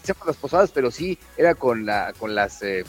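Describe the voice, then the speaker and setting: A man's voice: medium pitch, sing-song, brisk, soft background music. A man with a Mexican accent co-hosting a casual morning radio chat. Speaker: radio show co-host and correspondent.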